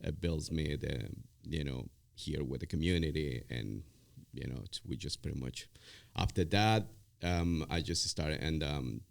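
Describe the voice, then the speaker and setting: A middle-aged man with an extremely deep voice and a heavy creak speaks as an interview guest. He is a native Spanish speaker, and his conversation is halting, circling back over phrases. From born in Ecuador, raised in Spain. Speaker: podcast guest, real estate team leader.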